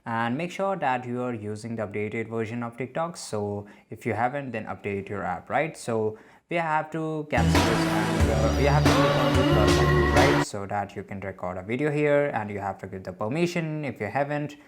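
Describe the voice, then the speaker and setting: A man's voice: mid-range voice, expressive, normal speed, faint music behind. A man hosting a casual how-to tutorial, explaining step by step and often checking in with a tag question. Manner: often tags sentences with "right?". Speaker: tutorial host.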